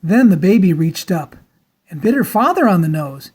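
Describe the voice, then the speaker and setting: A woman narrating a children's storybook aloud in a dramatic, theatrical style with deliberate emphasis. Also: lowish voice, sing-song, a little mellow, husky.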